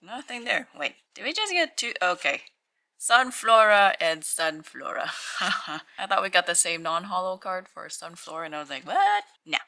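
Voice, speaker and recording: A woman with a croaky morning voice, casually talking to herself while unboxing at a tabletop and reading out numbers. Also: fairly low pitch, big pitch swings, pushed and bright. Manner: slow, musing, reads out weights. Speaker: channel host opening card packs. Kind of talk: casual hands-only unboxing commentary. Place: indoors at a tabletop.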